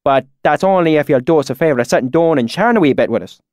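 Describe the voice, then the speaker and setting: A man's voice: fairly high pitch, animated, rapid-fire, a little mellow, no room sound, clean studio sound, voice pushed up, natural voice. A man doing a sly young man faking a country dialect. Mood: sly folksy. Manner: put-on folksy country dialect.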